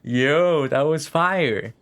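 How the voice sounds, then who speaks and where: A man's voice: fairly high pitch, drawn-out words, a bit bright, clean tone. A young man doing casual live gameplay commentary, slangy and full of swearing. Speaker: game streamer. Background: game music.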